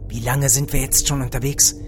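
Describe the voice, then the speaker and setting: An actor playing a dreamy, awestruck astronaut co-pilot in a radio play, with a lyrical, hushed delivery. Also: mid-range voice, flat delivery, brisk, very noisy, close to an everyday voice. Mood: moved, wistful. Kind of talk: radio play acting with poetic monologue. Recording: music behind the voice.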